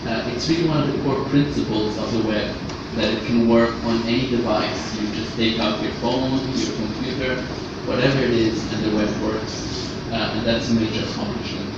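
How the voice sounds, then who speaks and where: A man raised in Austria giving a workshop presentation in a halting way, often repeating words as he goes. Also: on the low side, fairly even intonation. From Austria, born in Egypt. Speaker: conference speaker, web standards specialist. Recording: echoey, noisy room, distant muffled microphone.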